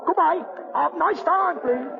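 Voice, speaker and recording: An actor in a 1940 radio drama playing an excitable, over-friendly Chinese man speaking effusive, repetitive broken English. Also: very high voice, moderate pace, vocal fry. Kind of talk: 1940s radio acting. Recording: tinny old radio recording, crowd behind.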